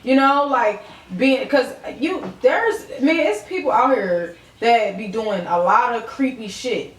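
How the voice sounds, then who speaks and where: A woman's voice: fairly high pitch, animated, measured pace, far from the mic. A young woman, chatting casually on a podcast in a blunt, slangy, emphatic way. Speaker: podcast co-host and music artist. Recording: roomy echo, faint background noise.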